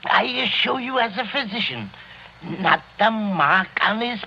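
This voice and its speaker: Creepy physician, slow, raspy and piercing, eerie 1940s radio thriller, muffled sound. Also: male voice, animated, sharp tone, heavily acted. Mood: eerie insistence. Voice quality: shrill, raspy, gravelly. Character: eerie, unhinged male doctor, cryptic and insistent.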